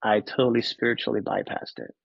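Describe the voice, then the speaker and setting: A man, telling his personal story in an interview, candid and halting, often repeating words. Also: on the low side, flat delivery, creaky, breathy edge, no room sound, muffled recording. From Finland. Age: 30s. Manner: candid, casually profane. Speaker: podcast guest.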